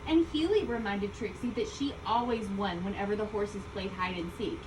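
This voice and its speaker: A woman reading a children's story aloud, expressively, with deliberate emphasis on key words. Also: mid-range voice. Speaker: storybook reader. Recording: distant mic, loud background ambient noise.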